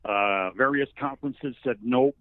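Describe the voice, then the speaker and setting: A man's voice: medium pitch, a little slow, no room sound. Phone-line older man, folksy sports storyteller. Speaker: radio play-by-play sports announcer, interview guest. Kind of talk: conversational sports interview.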